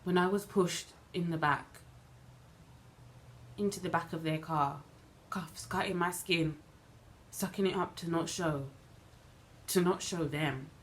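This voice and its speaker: A woman performing a dramatic monologue as a bitter, wronged young woman recounting events in a deliberate, halting way with emphatic repetition. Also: lowish voice, natural voice. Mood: controlled hurt. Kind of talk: dramatic stage monologue, self-taped. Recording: noticeable background noise.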